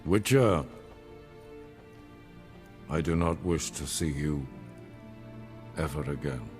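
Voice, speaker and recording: A stern, haughty ruler in a fantasy game. His voice is extremely low and he measures each word slowly, formal and dismissive, with faint music underneath. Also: animated, darkish tone, exaggerated voice. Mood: cold, restrained. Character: cold, imperious male ruler, stern and dismissive. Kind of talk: video game cutscene acting.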